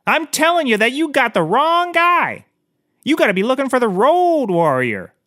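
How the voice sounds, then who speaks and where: A man plays an eccentric, stubborn private eye in the dock of a comedy courtroom. His voice is bright and forceful, with very lively swings in pitch. He rambles on with absurd earnestness. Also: full character voice. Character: eccentric, stubborn, dim-witted male private eye on trial. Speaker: defendant on the witness stand. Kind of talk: scripted comedy courtroom acting.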